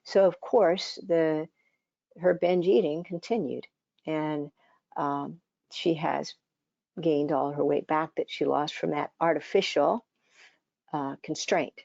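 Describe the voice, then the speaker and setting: An older woman, around seventy, giving a conversational conference presentation as a dietitian, her voice scratchy and hoarse, with many fillers and restarts. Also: deep voice, fairly even intonation, takes it slowly, pauses often, a little mellow, no echo, muffled recording.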